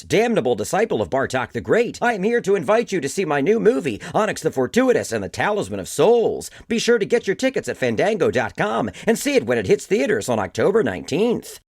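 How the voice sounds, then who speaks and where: Grandiose dorky occultist, comic promo, raspy-husky, hugely animated pitch, dry studio sound. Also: male voice, a little fast, few pauses, no echo, full character voice. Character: pompous male occultist, grandiose dork disciple of darkness.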